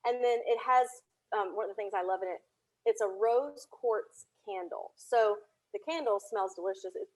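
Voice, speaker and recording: A woman with a middling-pitched voice chats as a podcast guest. She explains her audio productions at length in an informal, conversational way. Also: rough recording. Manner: chatty, explanatory. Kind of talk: casual interview chat.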